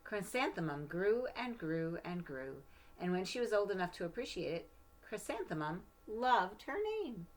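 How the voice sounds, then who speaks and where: A woman's voice: fairly low pitch, animated, normal speed. A woman reading a children's storybook aloud, with casual asides to the listeners. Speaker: storybook reader.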